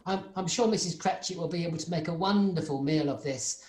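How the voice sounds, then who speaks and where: A woman playing a poor, humble working man in an amateur radio play, halting and polite. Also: lowish voice, a little mellow, lightly acted. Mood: humbly grateful. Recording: echoey room, video call.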